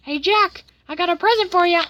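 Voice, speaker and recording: A woman's voice: extremely high pitch, brisk, pushed and bright, voice pushed up. A woman voicing a crazed, hungry, bullying marshmallow man who threatens and taunts in a storytelling game video. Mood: taunting, gloating.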